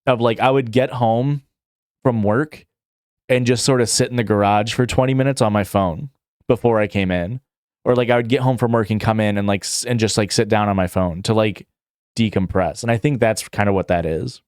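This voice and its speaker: A man's voice: on the low side, steady tone, moderate pace, darkish tone, clear, resonant tone, no room sound, studio quality. A young adult man streaming live, reading questions aloud and answering in a rambling, casual way full of fillers and restarts. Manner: rambling, filler-heavy, self-correcting, chuckles.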